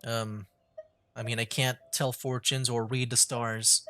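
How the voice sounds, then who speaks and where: Very low-voiced woman streaming a game. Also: little pitch movement, a little slow, fairly bright tone, soft background music. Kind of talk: casual live gameplay commentary.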